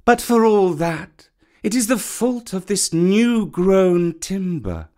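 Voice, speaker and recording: An actor reading a storybook and voicing a wary, proud old male python with a slow, courteous, hissing delivery. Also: sits high, big pitch swings, dark tone, voice pushed up, full character voice.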